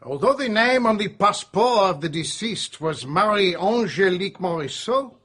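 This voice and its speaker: An actor playing a police officer formally giving evidence in a radio drama, speaking emphatically. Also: male voice, high-pitched, animated, unhurried, fairly bright tone, husky, heavily acted.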